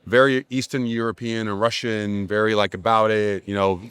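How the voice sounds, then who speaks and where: A man's voice: fairly low pitch, level tone, normal speed, a bit bright, clear, resonant tone. A man from Nigeria and the Czech Republic talking casually as an interview guest, emphatic and repeating phrases to drive points home. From Nigeria and Czech Republic, in the US from age eleven. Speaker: interview guest, entrepreneur and brand agency CEO.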